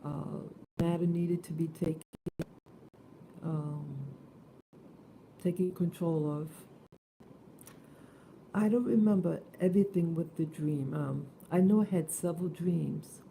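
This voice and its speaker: A woman just awake, hoarse and soft-spoken, talking hesitantly in a casual morning vlog. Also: lowish voice, slow pace, long pauses, a little hiss or hum, poor audio. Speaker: vlogger.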